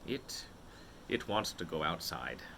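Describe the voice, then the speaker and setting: A man voicing a pondering, fretful storybook homebody in a slow, thoughtful, musing way. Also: rumbling low, lively intonation, vocal fry, noisy background, voice pushed down, exaggerated voice. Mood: puzzled.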